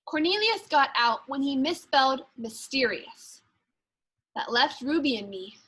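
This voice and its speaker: A woman with a very high, bright voice reads a children's picture book aloud as a primary school teacher would. Her delivery is expressive and deliberate, leaning on key words for dramatic emphasis. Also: low-quality recording. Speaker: second grade teacher.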